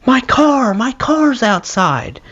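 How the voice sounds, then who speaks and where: A hot-headed Swedish rock drummer, acted out by a man reading an audiobook. The voice jumps high and swings about in high-strung, shouted outbursts. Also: big pitch swings, slow, slightly rough, highs cut off, full character voice. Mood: panicked.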